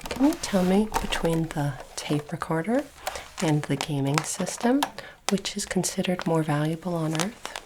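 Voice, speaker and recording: A woman voicing a polite, formal alien artifact appraiser in a soft breathy whisper, speaking slowly and precisely as an ASMR roleplay. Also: fairly low pitch, animated, noisy background, close to an everyday voice. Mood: calm, instructive. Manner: slow, measured, formal, precise diction.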